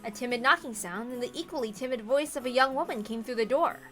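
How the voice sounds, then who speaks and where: High, bright-voiced woman reading aloud. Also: quick pace, faint music behind. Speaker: reader of a visual novel. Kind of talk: expressive read-aloud with asides.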